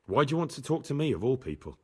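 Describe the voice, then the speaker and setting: An earnest young squire, husky. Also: male voice, medium pitch, very animated, racing, warm tone. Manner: earnest, dutiful. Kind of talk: video game cutscene acting.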